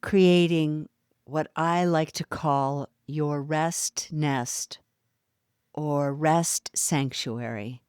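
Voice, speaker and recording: A woman guiding a yoga nidra relaxation, speaking softly and soothingly with long pauses between phrases. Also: low voice, clear, resonant tone, no room sound, studio quality. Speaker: meditation guide. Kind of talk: guided yoga nidra meditation.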